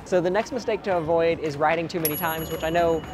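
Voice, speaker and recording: A young man in his twenties, hoarse from screaming on a ride, giving casual theme park tips to camera outdoors with lots of ums. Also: on the high side, steady tone, quick pace. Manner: chatty, frequent ums and uhs. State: hoarse, voice cracking. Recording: outdoor crowd and ride noise behind.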